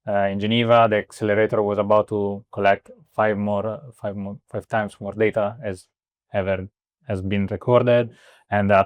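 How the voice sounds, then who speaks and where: A man's voice: on the low side, dry recording. A man from Italy in his thirties answering interview questions, hesitant, with many fillers and restarts. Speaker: guest, startup co-founder.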